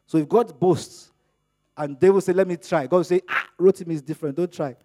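Animated high-voiced preacher, Yoruba speaker. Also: male voice, fairly quick. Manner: animated, acts out dialogues with rising pitch and volume. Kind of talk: sermon.

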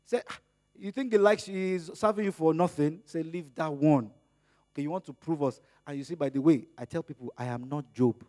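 Animated high-voiced preacher, Yoruba speaker. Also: male voice, brisk. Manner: animated, acts out dialogues with rising pitch and volume. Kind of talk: sermon.

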